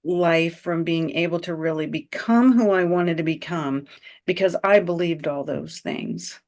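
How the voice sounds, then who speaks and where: A woman's voice: lowish voice, a little slow, darkish tone. A woman co-hosting a podcast, a mother speaking in an earnest, heartfelt way. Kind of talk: candid conversational chat.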